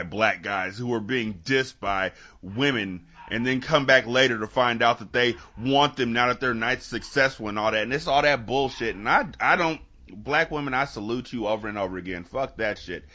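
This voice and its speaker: A man's voice: mid-range voice, normal speed, pushed and bright, muffled recording. A man hosting a podcast, telling stories in casual, joking, swear-filled chat. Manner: profane, joking, storytelling. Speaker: podcast host.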